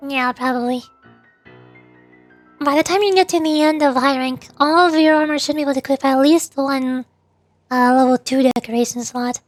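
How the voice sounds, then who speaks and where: High-pitched playful woman livestreamer. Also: steady tone, normal speed, no room sound, faint music behind. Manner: playful, mock-indignant banter. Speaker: VTuber livestreamer. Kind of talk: casual livestream chat with viewers.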